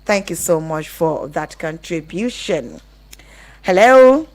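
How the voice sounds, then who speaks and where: Woman, Nigerian accent, slightly low pitch, live call-in radio host. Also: expressive, takes it slowly. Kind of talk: live phone-in radio hosting, reading listener messages.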